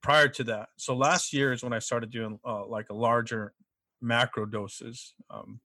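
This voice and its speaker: A young man in casual chat, speaking haltingly with many restarts and frequent throat clearing. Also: medium pitch, fairly even intonation, bright, forceful tone, no echo.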